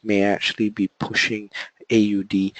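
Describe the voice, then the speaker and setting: A man's voice: on the low side, level tone, normal speed, fairly bright tone, no room sound. A man with a sore throat, explaining trading charts step by step in a live online session, with frequent okays and uhs. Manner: explains step by step, fills with okay and uh. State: sore throat, voice not clear or loud. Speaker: trading analyst presenting a live webinar. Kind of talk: live market analysis walkthrough.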